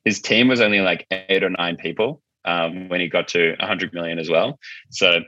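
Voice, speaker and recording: A man with a deep but bright, forward voice talks casually as an interview guest. He explains his thoughts informally, working them out as he goes. The sound is close and dry, a little muffled and lo-fi. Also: fairly even intonation, moderate pace, no echo. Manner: informal, explanatory, thinking aloud. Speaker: podcast guest, marketing agency founder.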